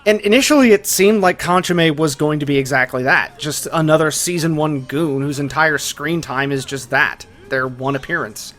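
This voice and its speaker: A man's voice: fairly high pitch, moderate pace, few pauses, fairly bright tone. A man narrating a scripted video essay as its host, in a casual, conversational way with colloquial asides. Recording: music behind the voice. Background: music.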